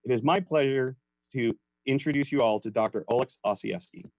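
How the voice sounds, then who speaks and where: A man's voice: medium pitch, brisk, dry recording. A man hosting an academic webinar, giving a prepared, formal introduction. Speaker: webinar host introducing a speaker.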